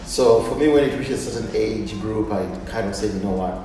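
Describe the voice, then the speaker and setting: A man's voice: on the low side, a little fast, dark tone. A man, giving a casual personal interview in a hesitant, circling way. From Uganda. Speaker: interview guest, artist and textile instructor. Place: gallery showroom. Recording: very echoey hall, distant mic, faint noise.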